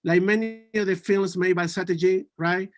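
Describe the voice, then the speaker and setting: High-voiced man with a Peruvian Spanish accent. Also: steady tone, moderate pace. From Peru. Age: 40s. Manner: earnest, with frequent restarts and self-corrections. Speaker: conference speaker. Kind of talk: prepared academic talk.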